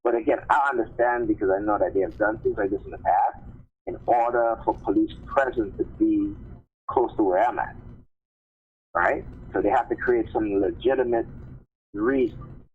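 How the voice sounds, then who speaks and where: A man's voice: fairly low pitch, moderate pace. A man talking casually as a live stream host, insistent, often posing questions and checking in with short tags. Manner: insistent, rhetorical questions and repeated check tags like "right?" and "okay?". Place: remote call-in on a live stream. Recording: muffled phone-line audio with clear noise.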